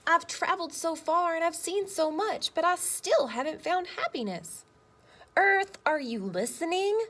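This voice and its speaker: A woman's voice: very high-pitched, lively intonation, unhurried, a bit bright, voice pushed up, heavily acted. A woman voicing a young boy from a storybook, earnest and plaintive as he searches, with emphatic delivery. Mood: pleading. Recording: clear background noise.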